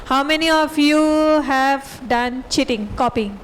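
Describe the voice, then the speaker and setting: A woman speaks in a high voice, advising students directly and calling them her dear children. Her tone is motherly and firm. Faint noise sits under the recording. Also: unhurried, fairly bright tone, rough recording. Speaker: school principal on a panel.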